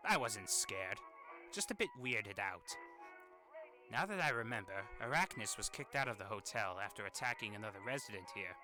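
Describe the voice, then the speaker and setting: Guy doing grumpy tired cartoon demon man, lowish voice, sardonic and fed up, old phonograph music playing behind. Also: expressive, moderate pace, lightly acted. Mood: dry, disdainful. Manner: grumbling, exasperated, sighing asides. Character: irritable, weary, sardonic male demon hotel resident. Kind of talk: scripted character monologue, fan-made animation voice demo.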